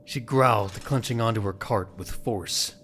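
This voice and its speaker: A man's voice: on the low side, moderate pace, fairly bright tone, a little hiss or hum. A man reading scripted, dramatic narration for an audio drama.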